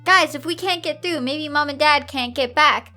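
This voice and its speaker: A woman voicing an excitable, hapless schoolboy, eager and flustered, in a read-aloud story. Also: high-pitched, moderate pace, faint music behind.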